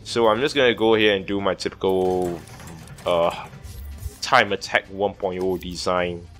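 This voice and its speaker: A young adult man doing casual gaming commentary, rambling and halting, repeating words and swearing as he plays. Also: sits low, steady tone, a bit bright, soft background music. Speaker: gaming video host. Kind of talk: casual let's play commentary.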